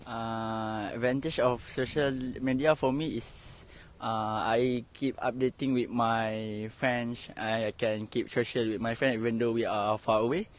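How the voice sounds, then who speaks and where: A man answering a street interview question, hesitant and pausing. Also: mid-range voice, steady tone. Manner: hesitant, slow answers with pauses.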